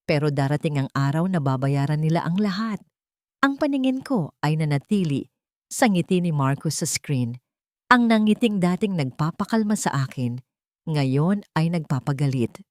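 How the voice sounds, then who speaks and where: A Filipino woman reading a first-person drama story aloud in a measured, earnest way. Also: low voice, darkish tone, no echo, clean studio sound. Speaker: audiobook narrator.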